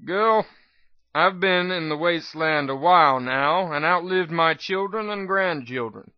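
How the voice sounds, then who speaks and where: A man's voice: on the high side, unhurried, dry recording, highs cut off, pitched above the performer's own voice, natural voice. A man voicing a scrawny old unicorn doctor from the wasteland, grimly amused and world-weary, with folksy rural phrasing. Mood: weary, earnest. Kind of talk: dramatic reading of fan fiction.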